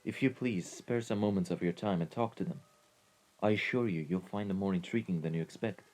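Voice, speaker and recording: A man's voice: sits low, brisk, a little mellow, lightly acted. A man playing a deferential mafia henchman in an ASMR roleplay, speaking politely and persuasively to a superior. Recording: music behind the voice. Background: classical background music.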